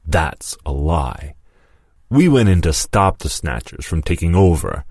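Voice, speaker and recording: Man doing a gruff defiant veteran. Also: deep voice, sing-song, a little slow, a little mellow, no room sound, clean studio sound, full character voice.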